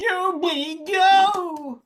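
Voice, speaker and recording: Woman playing a high-voiced, doting dog owner. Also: very slow, fairly bright tone, exaggerated voice. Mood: cheering. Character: fretful, doting female dog owner calling her pet.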